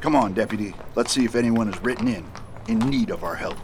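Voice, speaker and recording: An actor playing a calm, authoritative US marshal in a western radio drama. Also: male voice, lowish voice, fast talker, background noise, close to an everyday voice. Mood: cordial, businesslike. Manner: calm, commanding. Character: steady, authoritative male US marshal in the West. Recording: faint outdoor ambience behind.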